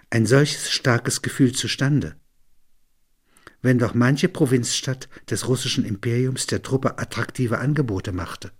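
A man's voice: mid-range voice, slightly rough. A man narrating in a literary register, as a reader and commentator.

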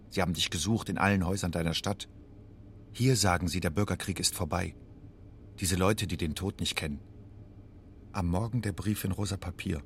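A man's voice: sits low, vocal fry, a bit bright, slight background noise. An actor playing a grave man who insistently addresses a war refugee in a scripted dramatic monologue. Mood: grave, tense.

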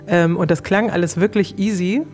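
A woman's voice: on the low side, steady tone, normal speed, darkish tone, faint music behind. A woman in her thirties, an interview guest, casually recalling her own experience. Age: 30s. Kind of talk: casual spoken recollection.

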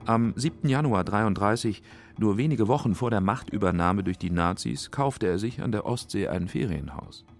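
A man narrating a scripted radio feature in a factual, measured manner. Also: lowish voice, lively intonation, vocal fry, soft background music. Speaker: documentary narrator.

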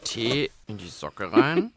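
A man's voice: mid-range voice, huge pitch swings, slow pace, creaky. A man co-hosting a children's podcast in a studio, bantering casually while trying out experiments.

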